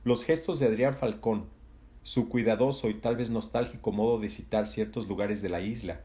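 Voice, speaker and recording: Mellow-voiced male audiobook narrator. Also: lowish voice, normal speed.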